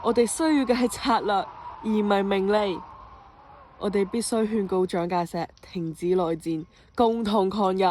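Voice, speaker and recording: Earnest young male commander, played by an amateur, radio play, declaiming, resolute, mid pitch. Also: moderate pace, darkish tone, a little hiss or hum, close to an everyday voice. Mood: earnest, determined. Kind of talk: amateur Cantonese radio drama acting.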